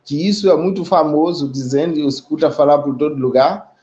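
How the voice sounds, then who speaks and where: A Haitian man speaking as a community guest in a live online discussion, talking in a measured, reflective way with frequent pauses and tag questions. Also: on the high side, dark tone, dull audio. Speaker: guest representing an immigrant community.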